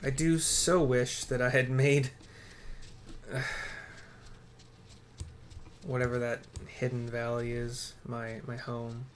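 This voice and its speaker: A man's voice: mid-range voice, level tone, slow, pauses often. Male let's-play streamer, offhand and rambling, talking half to himself, light background noise and typing. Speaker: gaming streamer. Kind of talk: casual game commentary. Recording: faint noise with keyboard typing.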